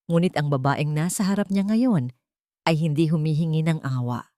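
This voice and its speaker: Female storyteller, slightly low register, dramatic scripted read, dry studio-like sound. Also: moderate pace, dark tone, no echo. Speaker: story narrator.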